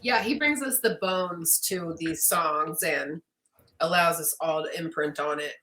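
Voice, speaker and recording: A woman's voice: low voice, unhurried, pushed and bright, roomy sound, slight background noise. A woman band member chatting casually in an interview, upbeat.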